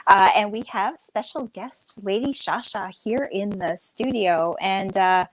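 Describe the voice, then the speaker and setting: A woman's voice: mid-range voice, measured pace, rough recording. A woman hosting a casual livestream, upbeat and welcoming as she greets the chat and the guest. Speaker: livestream host.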